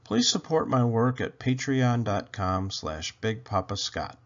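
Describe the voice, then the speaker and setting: A man reading a children's picture book aloud in a slow, expressive storytelling voice. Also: medium pitch. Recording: dull lo-fi sound.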